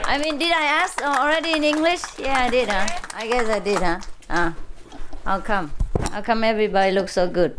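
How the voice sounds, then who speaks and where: A woman's voice: on the high side, a little fast, background noise. A woman spiritual teacher speaking informally to a gathering of followers, teasing and bantering with them and laughing often. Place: large gathering with an audience. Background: crowd murmur and laughter.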